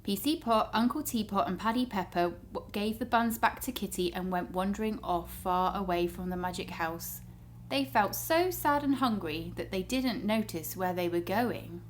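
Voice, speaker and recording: A woman reading a story aloud to young children in an expressive storytelling style. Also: medium pitch, slight background noise. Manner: pauses to ask the child listeners questions.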